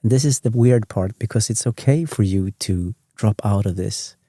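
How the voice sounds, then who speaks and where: A man from Sweden who speaks English with a Swedish accent as a second language, hosting a sleep podcast in a rambling, self-correcting, gently chatty way. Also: fairly low pitch, moderate pace, dry recording, studio quality. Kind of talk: meandering bedtime talk.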